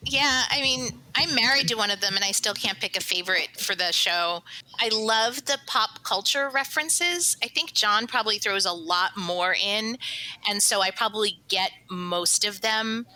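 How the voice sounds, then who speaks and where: A woman's voice: medium pitch, moderate pace, pushed and bright, low-quality recording. A woman talking casually in a group interview as a cast member of an audio drama.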